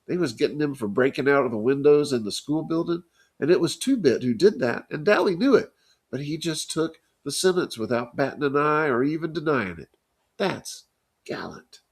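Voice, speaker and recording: A man doing a scared teenage boy, pitched high. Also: big pitch swings, moderate pace, breathy edge, heavily acted. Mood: earnest. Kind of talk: reading a novel aloud.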